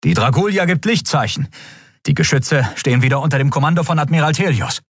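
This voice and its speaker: A man doing a brisk, high-strung first officer. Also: lively intonation, husky, no room sound, clean studio sound. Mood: urgent. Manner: rapid, clipped reporting.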